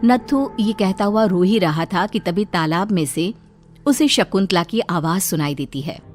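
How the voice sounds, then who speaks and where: A woman's voice: mid-range voice, animated, measured pace, a little hiss or hum. A woman narrating a scripted children's story as storyteller.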